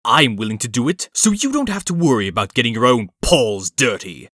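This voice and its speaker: A man's voice: medium pitch, big pitch swings, brisk, a bit bright, dry recording, studio quality. A man playing a haughty, ruthless, wealthy villain in a comic dub, sneering and articulate. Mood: cold, goading.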